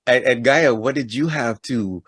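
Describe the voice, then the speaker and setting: A man from Toronto hosting a casual interview, upbeat and chatty and quick to laugh. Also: medium pitch, fairly bright tone, dry recording, clean studio sound. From Toronto, Canada. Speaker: podcast host.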